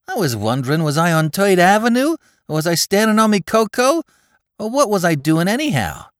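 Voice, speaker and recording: Man putting on a high New York street crook, excitable, slangy, loud, bouncy, dry sound. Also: brisk, dry recording. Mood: excited. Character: cheeky young male Bowery crook, excitable.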